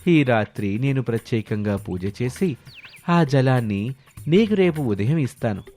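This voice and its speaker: A man's voice: mid-range voice, lively intonation, measured pace, darkish tone, soft background music. A man voicing a sly swindler posing as a holy man, solemn and coaxing, in a moral story. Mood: instructing, promising. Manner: solemn, pronouncing, coaxing with promises and warnings. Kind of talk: animated moral story dialogue.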